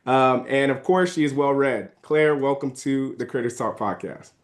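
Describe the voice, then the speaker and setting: A man hosting a podcast interview, talking in a relaxed, casual way with many fillers. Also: mid-range voice, moderate pace. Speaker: podcast host.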